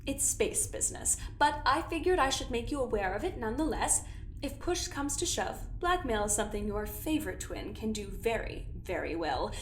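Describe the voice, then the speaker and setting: A woman's voice: on the high side, big pitch swings, normal speed. A woman playing a smug, condescending schemer who leaves a teasing message. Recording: echoey room with faint noise.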